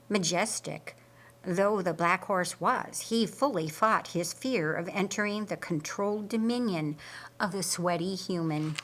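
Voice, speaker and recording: A woman reading an audiobook story in a deliberate, theatrical way. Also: medium pitch, a bit bright. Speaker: audiobook narrator. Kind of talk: dramatic scripted storytelling narration.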